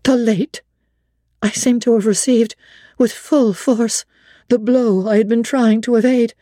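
A woman giving a measured, theatrical dramatic reading of a classic novel in a studio. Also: a little high, fairly even intonation, dark tone, dry recording.

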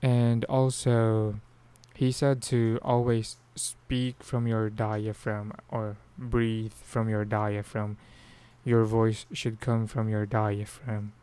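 A man's voice: on the low side, flat delivery, slow pace, mellow, clean tone. A Filipino man with a Filipino accent, hosting a podcast in casual solo talk, rambling with frequent restarts and fillers. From Philippines. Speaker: podcast host.

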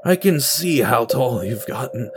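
Man playing a lonely, pleading widowed father, lively pitch. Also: measured pace, noisy background, lightly acted.

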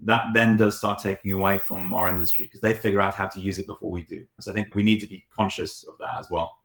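A man's voice: sits low, flat delivery, fast talker, echoey room. A man, a design studio director, answering interview questions in a reflective, explanatory way.

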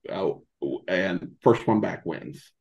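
A man chatting casually as a podcast interview guest, speaking haltingly with repeated false starts. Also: low-pitched, expressive, gravelly, darkish tone, lo-fi sound.

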